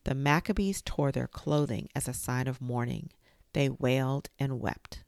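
A woman with an unusually deep voice reads a children's history book aloud as the host of a storytime show. Her tone is gentle and careful, with a gravelly edge. The recording is close and dry, with no room sound. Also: measured pace, no room sound. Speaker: storytime reader.

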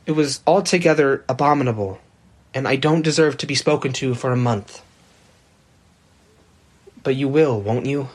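A woman's voice: extremely low pitch, moderate pace, long pauses, darkish tone, slightly rough, pitched below the performer's own voice. A woman reading aloud, voicing a proud, hot-tempered young man who sulks and talks back. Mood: pleading. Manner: sulky, defiant.

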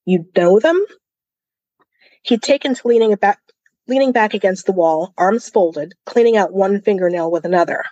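A woman's voice: medium pitch, brisk, mellow, dry recording, highs cut off. Woman co-hosting a book podcast, dry sound. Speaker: podcast co-host. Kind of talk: casual book discussion and reading aloud.

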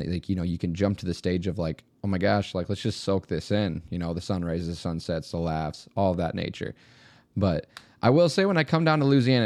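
A man's voice: deep voice, darkish tone, no echo. Chatty man with a northern US accent. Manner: chatty, laughs often, many "like" fillers. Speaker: podcast host. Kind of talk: casual podcast chat.

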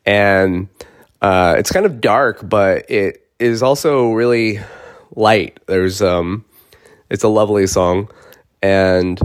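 A man's voice: lowish voice, slow pace, no room sound, clean studio sound. A man in his forties co-hosting a podcast in loose, joking casual chat, swearing freely. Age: 40s. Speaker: podcast co-host.

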